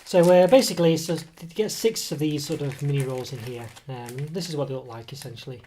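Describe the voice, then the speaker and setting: A man's voice: on the high side, brisk, warm tone, a little hiss or hum. A man with a cold reviewing a snack at an indoor table, talking in a rambling, hesitant way with many fillers and self-corrections, recorded on a table camera mic. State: has a cold, voice coming and going. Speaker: food reviewer. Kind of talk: casual product review.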